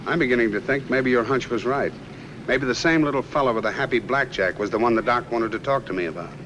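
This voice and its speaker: A man's voice: mid-range voice, quick pace, lo-fi sound, close to an everyday voice. An American man playing a shrewd, commanding, slangy private detective in a 1950s film noir, on a noisy old soundtrack. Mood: wry, probing. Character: portly, shrewd, unflappable private detective boss. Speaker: film actor.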